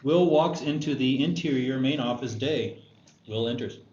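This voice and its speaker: Guy reading screenplay action lines, mid pitch, echoey room with some noise. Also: normal speed, a little mellow. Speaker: narrator reading stage directions. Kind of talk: scripted narration, screenplay table read. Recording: dull echoey room, noisy.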